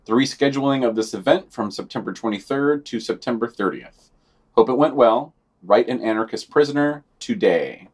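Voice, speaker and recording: A man's voice: mid-range voice, animated, normal speed, darkish tone, slightly rough. A man co-hosting a podcast, reading out short news summaries with dry, wry comments. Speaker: podcast co-host.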